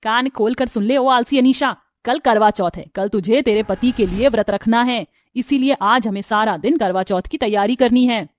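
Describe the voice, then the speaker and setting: High-pitched woman playing a middle-aged mother-in-law, domineering, sarcastic, scolding, family storybook tale. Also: moderate pace, few pauses, a little hiss or hum, close to an everyday voice. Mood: bossy, sarcastic. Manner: sarcastic, domineering, sharp-tongued.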